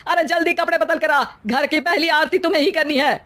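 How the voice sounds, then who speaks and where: A woman's voice: high-pitched, fairly even intonation, brisk, fairly bright tone, dry recording, pitched above the performer's own voice. A woman voicing a scandalised, traditional middle-aged mother-in-law in a cartoon moral story, scolding with exclamations.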